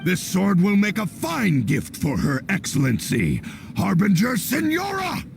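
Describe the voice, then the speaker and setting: A man voicing a menacing, arrogant enemy soldier in a video game, gravelly and grandiose. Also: sits high, wildly swooping pitch, a little slow, slight background noise, exaggerated voice. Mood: gloating. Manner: threatening, grandiose. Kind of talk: animated game dubbing.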